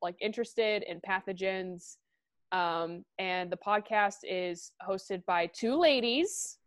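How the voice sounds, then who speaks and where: A young woman from the Midwest, co-hosting a podcast in excitable, chatty talk that slips into casual swearing. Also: medium pitch, slow pace, no echo, clean studio sound. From American Midwest. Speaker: podcast co-host. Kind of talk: casual chat.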